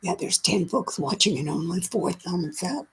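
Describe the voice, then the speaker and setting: A woman's voice: on the low side, expressive, normal speed, a little hiss or hum. A woman who has lost her voice, whispering her way through a chatty livestream and greeting viewers by name. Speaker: livestream host. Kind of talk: casual live chat with viewers.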